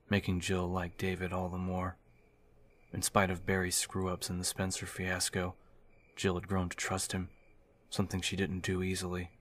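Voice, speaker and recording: A man's voice: deep voice, a bit bright. A man reading scripted dramatic narration for an audiobook. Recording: music behind the voice.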